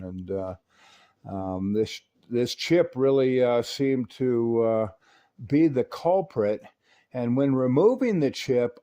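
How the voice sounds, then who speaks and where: A man's voice: mid-range voice, darkish tone. An American man narrating a repair walkthrough as a technician, in a casual, folksy, rambling explanatory way. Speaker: radio repair technician and video host.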